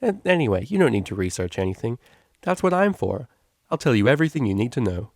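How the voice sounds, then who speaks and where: A man's voice: medium pitch, big pitch swings, fast, no echo, clean studio sound. A man voicing a brash young female unicorn DJ, playful and easily flustered, in slangy exclamations. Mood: bossy, casual.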